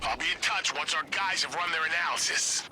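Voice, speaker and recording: A man's voice: on the high side, brisk, background noise, natural voice. A man playing a sardonic federal agent handler. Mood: briefing. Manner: dry, sarcastic briefing with bursts of urgent orders. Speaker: government agency handler giving mission orders. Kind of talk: video game cutscene and mission dialogue.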